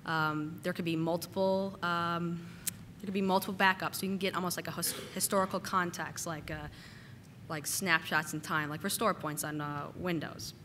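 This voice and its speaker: A woman giving a casual technical conference talk, slightly hoarse from a cold and using lots of "uh" and "um" fillers. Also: lowish voice, fairly even intonation, moderate pace, a bit bright, slight background noise. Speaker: conference speaker. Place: conference hall.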